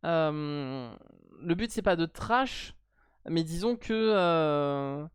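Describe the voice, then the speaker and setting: A woman's voice: low voice, lively intonation, drawn-out words, clear, resonant tone, no echo, clean studio sound. A woman with a French accent, hosting a live stream and chatting casually, blunt and playfully teasing.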